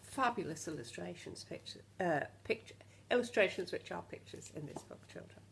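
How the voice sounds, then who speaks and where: A woman reads a picture book to young children in a fairly low voice with a creaky edge. She is expressive and often speaks to the children directly with questions. A faint layer of noise sits behind her. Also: moderate pace. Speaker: teacher reading a storybook to young children. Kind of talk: expressive children's storybook reading with asides to the listeners.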